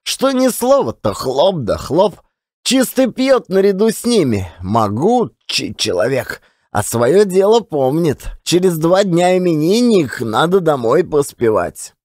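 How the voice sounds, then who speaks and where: A man's voice: on the high side, big pitch swings, measured pace, a little mellow, no room sound, clean studio sound. An actor playing a garrulous, folksy provincial Russian man telling rueful yarns in colloquial, theatrical style for a dramatized audiobook. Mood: amused, relishing. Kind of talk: dramatized audiobook storytelling, monologue.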